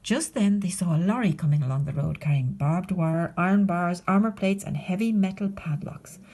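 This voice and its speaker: A woman narrating a children's storybook expressively, as a librarian would, with dramatic delivery and hushed phrase endings. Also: fairly low pitch, normal speed.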